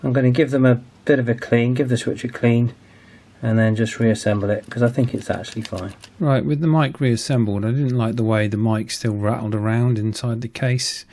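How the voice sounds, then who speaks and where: A man's voice: medium pitch, steady tone, moderate pace, a little mellow. A man in his forties narrating a hands-on repair casually, hesitant and thinking aloud as he goes. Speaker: video host, radio restoration hobbyist.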